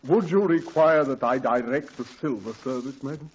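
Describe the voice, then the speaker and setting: A pompous old thespian butler with a husky voice and a slow, grand delivery, played by a man. The sound is dull and muffled, like an old radio broadcast. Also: medium pitch, natural voice. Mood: grandiose. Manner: grand, theatrical, slow and stately. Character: pompous old male stage actor playing butler. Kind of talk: 1940s radio acting.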